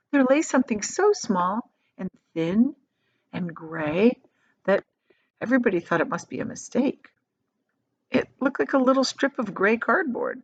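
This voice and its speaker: A woman reading a children's book aloud as an expressive storyteller. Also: mid-range voice, highs cut off.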